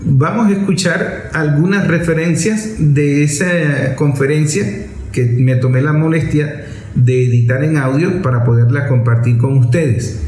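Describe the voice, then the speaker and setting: A Venezuelan man hosting a program, explaining political commentary in a didactic way with emphatic pauses. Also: fairly high pitch, a little mellow. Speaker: radio program host. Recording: echoey room sound.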